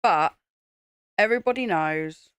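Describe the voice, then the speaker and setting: A woman's voice: on the low side, lively intonation, smooth, dry recording. A British woman co-hosting a live stream, teasing and mocking her co-host in casual banter. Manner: teasing, mocking, quick to laugh. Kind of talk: casual banter while building.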